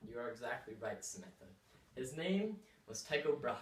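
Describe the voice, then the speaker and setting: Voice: medium pitch, very animated, moderate pace, recorded from a distance, slight background noise. A student playing a field reporter who reads questions earnestly. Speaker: field reporter in a school skit. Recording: echoey room, off-mic.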